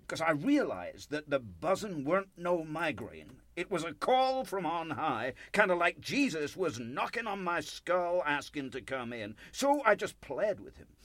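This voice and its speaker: A man's voice: fairly high pitch, a bit bright, no echo, heavily acted. An actor in a radio play playing an earnest, nervous older born-again businessman with a folksy, pious, rambling way of talking.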